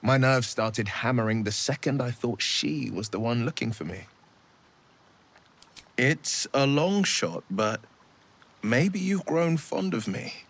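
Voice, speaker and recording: A gallant young adventurer from a fantasy game. His voice sits at an ordinary male pitch with a slightly husky grain. He speaks in courtly, eloquent phrases, earnest and romantic with a teasing streak. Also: expressive, slow pace, a bit bright, full character voice. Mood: tender, shy. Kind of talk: video game dialogue acting.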